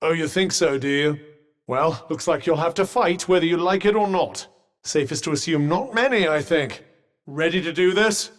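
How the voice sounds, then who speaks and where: A man's voice: sits high, a bit bright, big-room sound. An actor voicing a sardonic male magic bracelet companion in a game, with dry, witty, teasing quips. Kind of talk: game voice lines.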